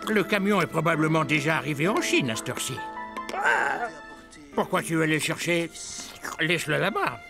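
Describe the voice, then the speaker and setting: A man's voice: a little high, lively intonation, fairly quick, vocal fry, long pauses, over music. A man voicing a casual, shady, excuse-making sidekick in a French cartoon. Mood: dismissive, persuasive. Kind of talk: French animated series acting.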